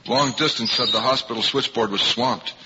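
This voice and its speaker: An actor playing a dejected, self-doubting young minor-league ballplayer, speaking earnestly in a 1950s radio drama. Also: male voice, medium pitch, moderate pace, lightly acted. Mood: dejected, worried. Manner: earnest, downcast. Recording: dull, noisy, reverberant old radio recording.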